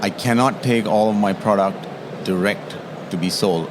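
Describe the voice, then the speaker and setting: Sri man, very low voice, guest expert on a noisy show floor. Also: normal speed. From Sri Lanka. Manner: explanatory, tags statements with "yeah?". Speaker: tea taster and guest. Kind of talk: interview at a trade show.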